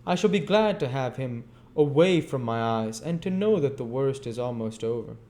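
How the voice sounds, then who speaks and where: A man's voice: mid-range voice, animated, normal speed, a little mellow, voice pushed up, natural voice. A man voicing a bitter, defiant young woman of the streets.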